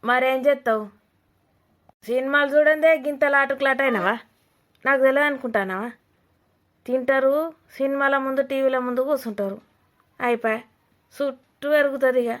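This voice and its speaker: Woman playing an older village mother-in-law, Telangana Telugu accent, high voice, sharp-tongued, nosy, rustic scolding, cartoon comedy. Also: moderate pace, long pauses, lightly acted. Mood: mocking, scolding. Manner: blunt, scolding, mocking, rustic.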